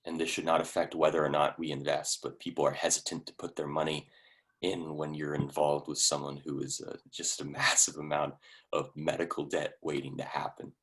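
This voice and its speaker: An actor in a staged play reading, playing a blunt but awkwardly tactful investor friend, with a wry, conversational delivery. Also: male voice, rumbling low, flat delivery, brisk, fairly bright tone. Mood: awkward, frank.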